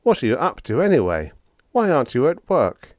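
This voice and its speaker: A man's voice: medium pitch, very animated, brisk, no room sound, voice pushed up, lightly acted. A man voicing an exasperated, bossy factory owner in an audiobook, indignant and emphatic. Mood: demanding. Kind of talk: audiobook character dialogue.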